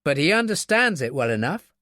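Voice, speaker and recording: Male narrator as tired but steely captain in a war audiobook, dry and curt, raised pitch, close dry sound. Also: sing-song, fairly quick, bright, forceful tone, dry recording. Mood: dry, firm. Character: steely, weary, patient male army captain in command.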